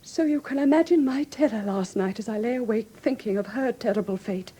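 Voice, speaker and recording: A fragile young English lady in a radio play. Her high voice is mellow and breathy, with earnest, polite RP and an anxious temper. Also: fairly quick. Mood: fearful, shaken. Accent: English Received Pronunciation. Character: frightened, genteel young English woman seeking help. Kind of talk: classic radio drama acting.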